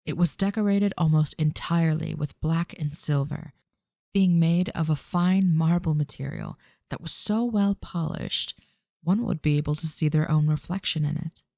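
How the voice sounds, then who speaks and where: A male narrator reads scripted fantasy prose. His voice is dark and mellow with a creaky, gravelly edge, and the recording is dry and free of room sound. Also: on the high side, normal speed, no room sound.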